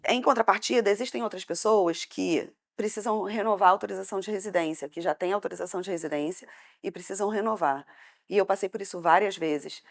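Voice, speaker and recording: A woman with a Brazilian Portuguese accent, speaking as a debate panelist in an impassioned, hesitant way. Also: lowish voice.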